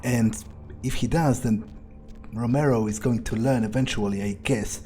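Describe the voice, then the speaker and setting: A man's voice: mid-range voice, fairly even intonation, moderate pace, warm tone, a little hiss or hum. A man doing an in-character game playthrough, musing aloud with plenty of swearing and hesitant phrasing. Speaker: gamer playing in character. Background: game music.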